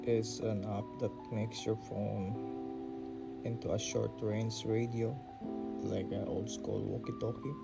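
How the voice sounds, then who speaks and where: A man's voice: fairly low pitch, vocal fry. A man giving a casual narrated demo as he reviews a phone app.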